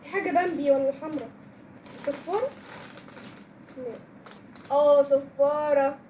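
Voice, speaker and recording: A woman's voice: high voice, a little slow, warm tone, a little hiss or hum, low-quality recording. A young girl with an Egyptian Arabic accent, chatting casually as she hosts an unboxing video and gives instructions to a younger child. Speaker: kids' channel host.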